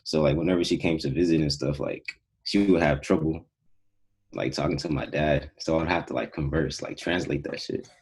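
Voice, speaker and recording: A man's voice: rumbling low, fairly even intonation, normal speed, a little mellow, husky. A young man from Houston, a podcast guest, chatting in a laid-back, slangy way with trailing phrases. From Houston, Texas. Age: young adult. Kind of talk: casual chat.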